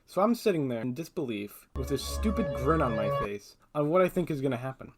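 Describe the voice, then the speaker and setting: A man's voice: medium pitch, sing-song, brisk. A man giving casual, opinionated scripted commentary as a video essayist, with a sarcastic streak. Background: background music.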